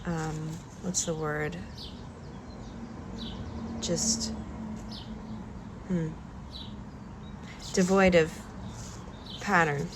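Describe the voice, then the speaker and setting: A woman vlogger with a cold and a slightly stuffy nose, talking softly and hesitantly with many pauses during a calm art demonstration. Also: lowish voice, noisy background. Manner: slow, soft-spoken, hesitant with many ums. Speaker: collage artist vlogger.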